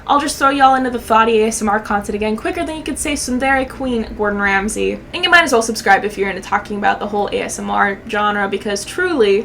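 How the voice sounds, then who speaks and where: A young woman with a fairly high voice gives casual video commentary. She is slangy, sweary and sarcastic, with faint noise behind her. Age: young adult. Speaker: YouTube commentator.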